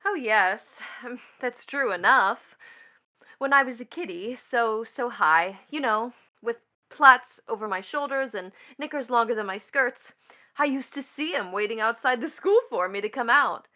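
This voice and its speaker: An actress playing a mocking, bitter young stepdaughter in a stage drama, with a taunting, sardonic delivery. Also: female voice, fairly high pitch, unhurried, sharp tone. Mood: wry, reminiscing. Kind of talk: theatrical stage drama acting.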